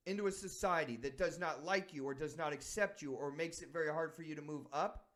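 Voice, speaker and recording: A man from San Diego who hosts a sports talk show, speaking with a slightly higher voice. He reflects earnestly and thinks out loud, restarting his sentences as he goes. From San Diego, United States.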